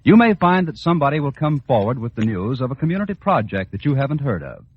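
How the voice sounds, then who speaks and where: A muffled old broadcast carries a male announcer. His husky voice moves in lively swings, delivering scripted copy in 1940s radio style. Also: medium pitch, quick pace. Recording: dull vintage 1940s radio recording.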